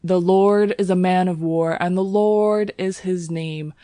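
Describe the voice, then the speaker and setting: A woman voicing a male preacher who bellows slowly, with a nasal tone and rolled R's. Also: on the low side, fairly even intonation, dark tone, no room sound, close to an everyday voice. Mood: booming.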